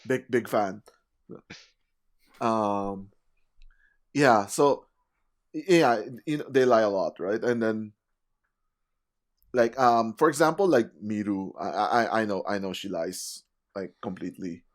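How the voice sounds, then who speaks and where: A man's voice: on the low side, takes it slowly, long pauses. A man hosting a casual podcast chat, talking with lots of fillers and laughing often. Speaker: podcast host.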